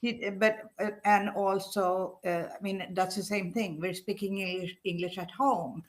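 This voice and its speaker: An older woman speaks as a guest in a relaxed conversation, chatty and quick to laugh. She takes her time, talking at a slow, unhurried pace. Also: mid-range voice. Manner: chatty, laughs while telling stories. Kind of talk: casual chat.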